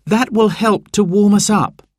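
A man voicing a cheerful, caring and excitable young girl in a children's story. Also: sits high, measured pace, no echo, clean studio sound, pitched above the performer's own voice. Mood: upbeat.

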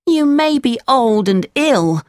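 A plucky little wooden puppet boy, acted out by a woman for a children's storybook. The voice is high and very lively, with earnest feeling and an unhurried delivery. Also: dry recording, clean studio sound. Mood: defiant. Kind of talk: children's storybook character reading.

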